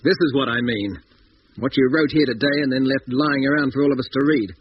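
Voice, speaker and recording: A man's voice: mid-range voice, fast talker, breathy edge, close to an everyday voice. An actor in a 1950s British radio drama playing a hot-tempered, paranoid spaceship designer who accuses and scorns his crewmates. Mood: accusing. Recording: muffled, noisy 1950s radio recording.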